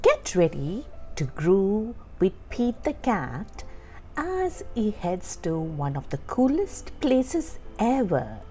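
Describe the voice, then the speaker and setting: A woman's voice: medium pitch, huge pitch swings, slow pace, warm tone. A woman reading a children's storybook aloud, telling it expressively and stressing key words for young listeners. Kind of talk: scripted read-aloud narration for young children. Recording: music under the voice. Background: background music.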